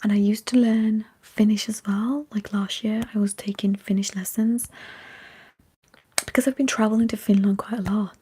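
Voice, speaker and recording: Female ASMR streamer, mellow and heavily whispered, breathy, unhurried and halting, chatting to live viewers. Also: mid-range voice, steady tone. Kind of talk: whispered ASMR livestream chat.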